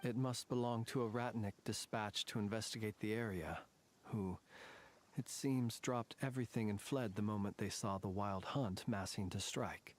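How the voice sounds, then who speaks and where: An actor playing a grave, courtly soldier in a video game, speaking in formal, measured, deliberate phrases. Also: male voice, on the low side, lightly acted. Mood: composed, formal. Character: grave, composed, courtly male soldier, quietly vengeful. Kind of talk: scripted video game voice acting. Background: game music.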